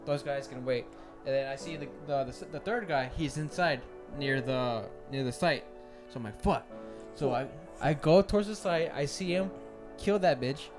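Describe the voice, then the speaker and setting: A young man telling a loud, swearing story about a video game match. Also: medium pitch, moderate pace. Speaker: podcast co-host. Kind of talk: casual gaming chat. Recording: music playing over the talk.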